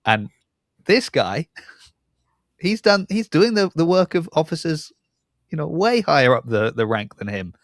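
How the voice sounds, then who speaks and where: A man's voice: a little high, sing-song, measured pace, long pauses, no echo, studio quality. A man hosting a podcast in a casual, conversational interview style. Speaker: podcast host.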